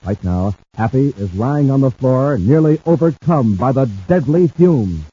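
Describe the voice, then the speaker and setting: An actor playing a hearty, dramatic space serial announcer, punchy and emphatic, in 1950s radio style. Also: male voice, medium pitch, animated, a little slow, mellow, breathy edge. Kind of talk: 1950s radio ad and story narration. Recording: muffled, noisy old radio recording.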